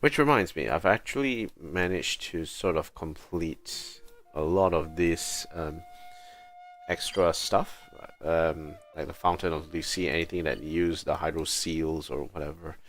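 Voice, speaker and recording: A man giving casual live gameplay commentary as a streamer, thinking aloud in halting short phrases. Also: very deep voice, fairly bright tone. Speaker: game livestreamer.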